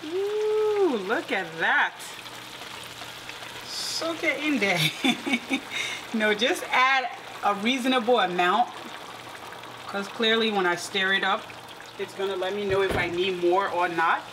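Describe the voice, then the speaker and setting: A woman from Liberia giving a relaxed, step-by-step home cooking demonstration with light laughs. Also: mid-range voice, takes it slowly, long pauses. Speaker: cooking vlogger. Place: home kitchen. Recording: clear kitchen cooking noise. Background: frying and sizzling food, stirring.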